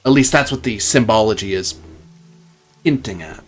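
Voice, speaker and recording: A man narrating a tabletop game as game master, in a halting, deliberate way with pauses. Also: on the low side, husky.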